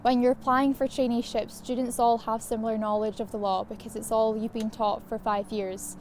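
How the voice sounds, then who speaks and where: A young woman with a fairly high voice gives a prepared student testimonial. She is recorded with faint noise in the background. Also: flat delivery, moderate pace, darkish tone. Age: young adult. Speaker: law student.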